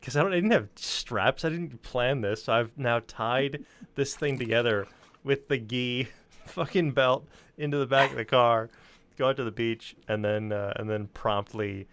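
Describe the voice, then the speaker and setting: A man's voice: medium pitch, moderate pace, a bit bright, clear, resonant tone. A man telling anecdotes in casual chat, rambling informally and swearing freely. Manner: informal, profane, rambling storyteller.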